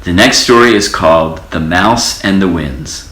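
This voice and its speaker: Low voiced guy reading kids stories slowly, lots of expression, roomy echo. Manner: expressive storytelling with character voices. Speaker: storybook reader. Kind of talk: children's storybook read-aloud. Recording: echoey room.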